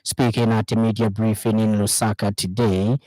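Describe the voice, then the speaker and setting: A man with a Zambian accent reading a scripted radio news bulletin in a formal, measured announcing manner. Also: fairly low pitch, level tone, darkish tone, no echo, low-quality recording.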